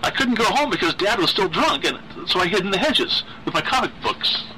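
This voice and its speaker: A timid, unhinged teenage boy from a horror audio story, played by a man. He stammers and repeats words in a tense, bright voice that climbs high. There is faint noise on the recording. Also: very animated, a little fast, full character voice, poor audio. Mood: agitated. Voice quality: high-pitched, stuttering.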